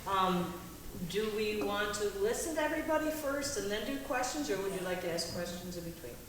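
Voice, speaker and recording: A woman's voice: mid-range voice, expressive, a little fast. A woman informally moderating a panel and speaking to the audience. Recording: very echoey hall, distant mic, noisy.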